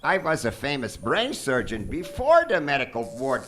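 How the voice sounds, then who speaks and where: A man's voice: mid-range voice, wildly swooping pitch, normal speed, fairly bright tone. A man playing a gleeful, courteously menacing mad doctor in a theatrical, pompous radio drama style. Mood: smug, boastful. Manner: theatrical, pompous, mock-polite. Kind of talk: live stage radio drama acting. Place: theater stage, live performance. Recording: live stage recording with faint noise.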